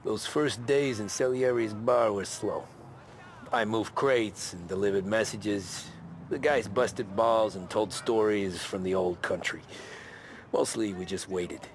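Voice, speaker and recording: A man's voice: lowish voice, unhurried, a little hiss or hum, natural voice. A man playing an earnest, respectful new mafia recruit in a video game, in dialogue and narration. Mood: reflective.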